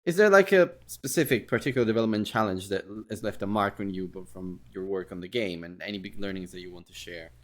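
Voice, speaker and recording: A man's voice: lowish voice, quick pace, hardly any pauses. A man hosting a casual interview, feeling his way through rambling questions and repeating his affirmations. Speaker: podcast host, interviewer.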